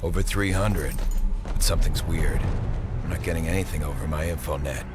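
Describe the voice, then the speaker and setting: An actor playing a grim, wary superhero soldier on a rescue in a video game cutscene. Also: male voice, rumbling low, fairly quick. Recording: loud music behind.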